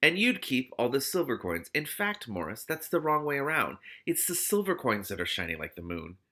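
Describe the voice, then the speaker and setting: A man's voice: mid-range voice, very animated, normal speed, pushed and bright, pitched above the performer's own voice. A man voicing a polite but insistent female rat in a storybook, speaking primly and pressing the point. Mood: politely insistent. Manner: prim, polite, insistent, questioning.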